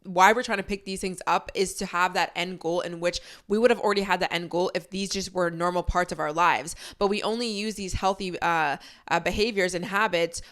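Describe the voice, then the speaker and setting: A woman's voice: on the low side, steady tone, fairly quick, few pauses, a bit bright. A young woman in her twenties hosting a podcast, giving a candid, casual motivational pep talk full of rhetorical questions and the odd swear word. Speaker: podcast host.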